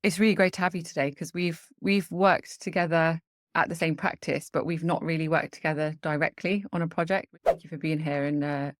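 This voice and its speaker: A woman's voice: low voice, fairly even intonation, fairly quick. A woman hosting a podcast, talking in an eager, earnest and encouraging way. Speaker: podcast host. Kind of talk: casual interview and spoken intro.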